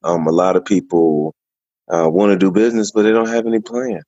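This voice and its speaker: A very low-voiced man speaks as a podcast guest, unhurried and easygoing, often pausing to gather his thoughts. The sound is dry and a little muffled. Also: dark tone, dry recording. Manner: easygoing, measured, with frequent pauses. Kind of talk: casual interview answers.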